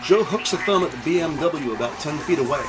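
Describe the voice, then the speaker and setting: A man's voice: a little high, expressive, brisk, music in the background. A man narrating an audio crime drama from a script, describing the action in the present tense.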